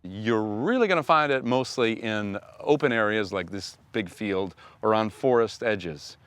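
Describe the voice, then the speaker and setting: A man hosting a foraging show, explaining a wild plant outdoors in an open field in an earnest, unhurried way. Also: fairly low pitch, lively intonation, fairly bright tone, smooth. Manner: earnest, unhurried, repeats words while thinking.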